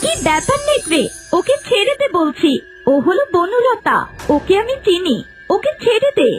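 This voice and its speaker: A woman's voice: extremely high pitch, big pitch swings, normal speed. A woman voicing a powerful, protective fairy in a cartoon, commanding yet tender. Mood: stern, commanding. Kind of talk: cartoon character dialogue. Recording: music behind the voice.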